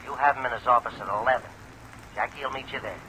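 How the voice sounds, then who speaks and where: An actor playing a brisk, businesslike go-between on the telephone in an old radio drama, curt and insistent. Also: male voice, mid-range voice, bright, forceful tone, natural voice, poor audio. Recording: vintage radio recording, rain noise.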